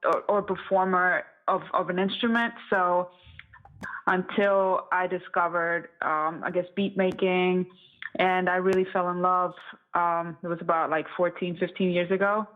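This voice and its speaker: Phone-like audio, lower-voiced woman from Estonia. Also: little pitch movement, a little slow. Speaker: interview guest, music producer and composer. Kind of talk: casual conversational interview. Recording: thin, phone-like band-limited audio.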